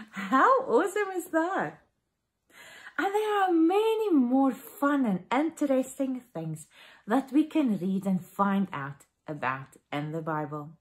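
A woman in her forties with a South African accent, Afrikaans her first language, telling a Bible story to children for a family service from her living room. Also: on the low side. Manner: animated storytelling to children, asking questions. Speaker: minister.

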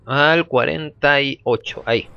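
A man's voice: mid-range voice, a little slow, vocal fry, dry recording, muffled recording. A man with a sore throat, casually commentating his own gameplay and often repeating phrases. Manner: relaxed, repeats phrases, chatty.

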